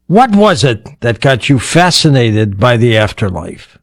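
Male radio host, inquisitive, with a bright, animated delivery. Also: medium pitch, unhurried, dry recording, clean studio sound. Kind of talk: radio interview.

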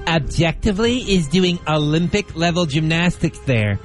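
Brief: animated, high-pitched man shooting down arguments. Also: normal speed, soft background music. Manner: dry, cutting rebuttals. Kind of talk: reading a scripted comedy debate.

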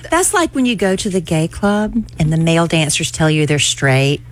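A woman co-hosting a radio show, chatting and teasing with quick jokes. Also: low-pitched, big pitch swings, slight background noise, rough recording. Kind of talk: casual chat.

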